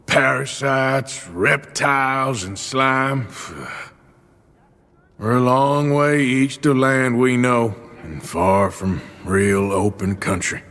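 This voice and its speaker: A man playing a gruff, jaded American cowboy outlaw in a western video game, with a blunt, weary, sardonic delivery. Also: mid-range voice, a bit bright. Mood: weary, disgusted.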